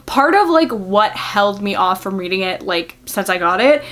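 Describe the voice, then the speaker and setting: A woman's voice: medium pitch, lively intonation, moderate pace, fairly bright tone. A young adult woman chatting casually to viewers as a book vlogger, gushing and giggly, with lots of filler words. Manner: gushing, informal, filler-heavy with frequent "like".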